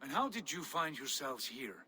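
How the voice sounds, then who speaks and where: An actor playing a proud, magnanimous Viking jarl who speaks formally and with authority in a game cutscene. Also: male voice, mid-range voice, big pitch swings, moderate pace, bright, forceful tone, a little hiss or hum. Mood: stately, welcoming. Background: music.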